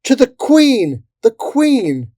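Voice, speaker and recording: A narrator voicing bold, commanding fairy captains who shout battle orders in a storybook. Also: medium pitch, very animated, extremely slow, dry recording, studio quality, voice pushed up, heavily acted. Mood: urgent commanding.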